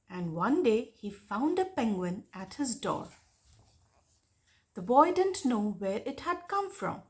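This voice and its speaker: A girl of about ten reading a picture book aloud in a deliberate, expressive storytelling manner. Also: fairly high pitch. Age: child, about 10.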